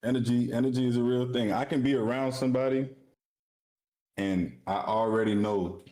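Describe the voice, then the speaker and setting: A man's voice: mid-range voice, fairly even intonation, normal speed, mellow. A man from South Florida chatting casually on a livestream, slangy, swearing and teasing. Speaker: trading stream co-host.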